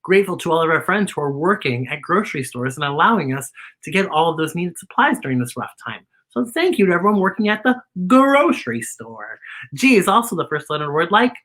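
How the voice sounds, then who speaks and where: A woman's voice: fairly low pitch, sing-song, a little fast, fairly bright tone. A woman hosting a children's story time in a playful, theatrical, exclamatory way with campy sass and bits of singing. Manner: campy drag-style sass. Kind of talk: playful kids' show presenting with singing.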